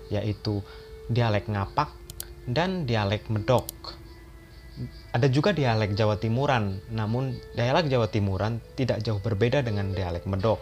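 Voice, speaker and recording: A man narrating an educational history video as a voice-over, in a calm and steady explaining manner. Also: on the low side. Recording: voice-over with loud background music.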